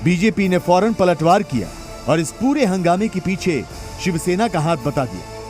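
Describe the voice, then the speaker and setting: A man with a high voice narrates a scripted news report. His delivery is dramatic and emphatic, and faint music plays underneath. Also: expressive, moderate pace.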